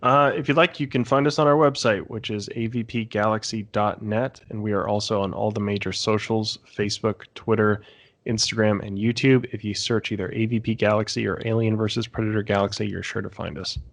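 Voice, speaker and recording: A man's voice: fairly low pitch, fairly even intonation, brisk, vocal fry. A man co-hosting a podcast, talking in a casual, informative way. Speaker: podcast co-host.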